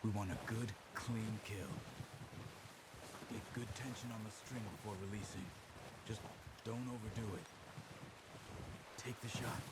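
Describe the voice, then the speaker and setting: A man playing a calm, watchful outlaw hunter in a video game, speaking in a measured, terse, plain way. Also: on the low side, heavy background noise, natural voice. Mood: hushed, focused. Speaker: outlaw gang member. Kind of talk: naturalistic video game acting. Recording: loud music bed behind dialogue.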